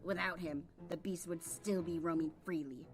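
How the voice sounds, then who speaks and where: A woman voicing an anxious, dutiful cartoon pony courtier in a hushed, grave manner. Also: fairly low pitch, fairly even intonation, normal speed, faint music behind, natural voice. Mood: worried, grave.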